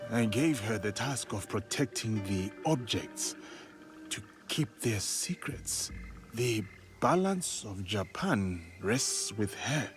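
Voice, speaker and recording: An actor playing a calm, earnest man in a video game, speaking slowly and thoughtfully with hesitations. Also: lowish voice. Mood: grave. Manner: slow, hesitant, thoughtful reader. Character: calm, earnest male companion translating an old journal. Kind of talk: video game cutscene acting. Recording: music under the voice.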